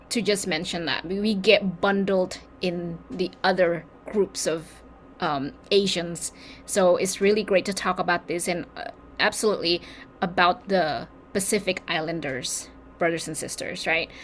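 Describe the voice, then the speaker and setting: A woman from Indonesia speaking as a community leader on a panel discussion, talking in quick, animated bursts. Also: lowish voice, slight background noise.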